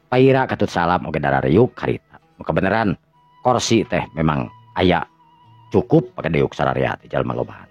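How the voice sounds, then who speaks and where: A man's voice: low-pitched, no room sound. A man with a Sundanese accent narrating a dramatized radio story in a conversational way, correcting himself as he goes. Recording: muffled lo-fi audio with music behind.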